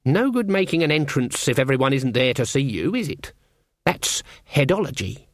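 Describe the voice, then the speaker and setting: A man's voice: medium pitch, sing-song, normal speed, no echo, lightly acted. A stern old woman witch from a storybook, acted out by a male narrator. She is proud and stubborn, talking in blunt, morose rustic phrases, recorded close and dry.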